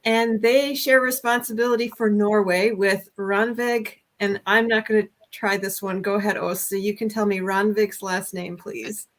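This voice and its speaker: A woman hosting an online interview over a video call, chatty and quick to laugh, with frequent ums and uhs. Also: mid-range voice, fairly even intonation. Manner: warm, laughs often, filler-heavy. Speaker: host.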